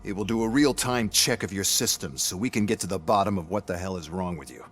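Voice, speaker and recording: Sneering corporate overseer. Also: male voice, sits low, fast, a bit bright. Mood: scornful. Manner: mocking and dismissive. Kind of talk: video game cutscene acting.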